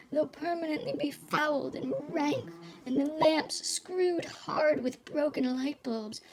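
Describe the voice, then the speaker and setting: An actress playing a sultry, world-weary femme fatale with a sharp tongue and a teasing, theatrical delivery in a noir audio drama. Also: female voice, high-pitched, low-quality recording.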